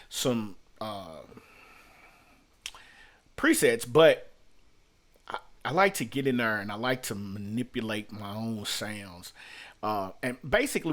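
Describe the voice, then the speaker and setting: A man's voice: mid-range voice, a bit bright. Middle-aged man giving an informal tutorial. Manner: conversational, repeats and rephrases points for emphasis. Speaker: music producer teaching a software tutorial. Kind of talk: informal screen-share tutorial, unscripted. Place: small room at home.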